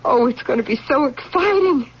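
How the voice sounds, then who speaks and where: An actress playing an excited, gushing general's wife in an old-time radio drama, dreamy and enthusiastic. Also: female voice, moderate pace. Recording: dull old radio broadcast, faint rumble.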